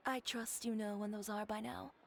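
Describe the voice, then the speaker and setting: An actress playing a poised, perceptive woman tailor in a video game, speaking in a polite, measured, persuasive way. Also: medium pitch, steady tone, creaky, a little hiss or hum, lightly acted. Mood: encouraging, assured. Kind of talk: scripted video game character dialogue.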